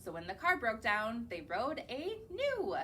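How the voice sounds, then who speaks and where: Children's librarian reading to kids: bright high voice, sing-song, unhurried, keeps quizzing the little listeners. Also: female voice, wildly swooping pitch, slight background noise. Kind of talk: reading picture books aloud to young children. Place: public library.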